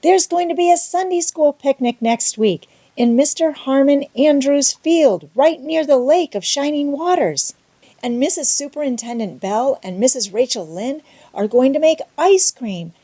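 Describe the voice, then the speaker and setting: A woman voicing an excitable, talkative, imaginative young girl in an audiobook, gushing and breathless. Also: sits high, unhurried, pitched above the performer's own voice. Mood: breathless excitement. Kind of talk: audiobook character voice.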